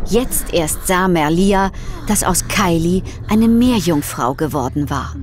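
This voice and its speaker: A woman's voice: on the low side, lively intonation, takes it slowly, soft background music. A woman narrator reading a scripted children's adventure story.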